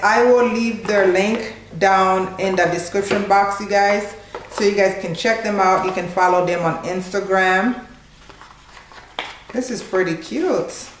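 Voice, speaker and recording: A woman in her thirties hosting a casual unboxing vlog at home, chatty and informal, exclaiming with delight. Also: medium pitch. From Haitian background. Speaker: cooking channel host. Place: small living room at home, indoors. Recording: echoey room, clear background noise.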